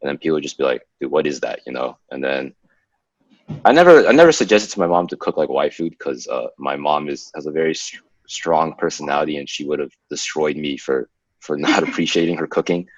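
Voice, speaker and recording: A man's voice: extremely low pitch, animated, fairly quick, no echo, muffled recording. A young man from the United States, a podcast co-host, talking casually and ramblingly with many fillers. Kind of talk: casual conversation.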